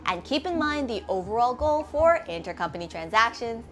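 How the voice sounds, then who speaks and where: A woman's voice: on the high side, very animated, moderate pace, faint music behind. A woman instructor narrating a scripted lesson step by step, methodically, posing questions and answering them herself. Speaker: accounting instructor.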